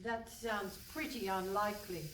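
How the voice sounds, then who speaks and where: A woman's voice: medium pitch, fairly even intonation, a bit bright, close to an everyday voice. A woman playing a brusque, condescending older English detective inspector in a comic radio play on stage. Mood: bossy, brisk. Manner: brisk, dry, condescending, calling people pet and love. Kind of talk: comic radio play before a live audience. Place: hall stage with a live audience. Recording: distant stage pickup with some noise.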